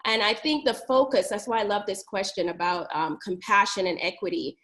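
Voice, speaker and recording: A woman from New York, a high school principal, speaking in measured, earnest webinar remarks with frequent pauses over a video call. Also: fairly bright tone.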